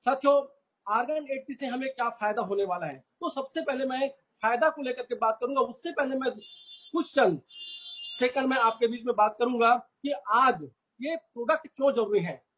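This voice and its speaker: High husky male trainer from India, earnest, repetitive sales pitch. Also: normal speed, fairly bright tone. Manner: earnest, repeats phrases, addresses listeners as friends. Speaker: product trainer.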